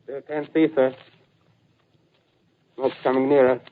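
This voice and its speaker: An actor playing a struggling army artilleryman under attack in a 1930s radio drama, calling out in strained, halting bursts. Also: mid-range voice, little pitch movement, close to an everyday voice. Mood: strained, fearful. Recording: tinny, noisy old radio recording.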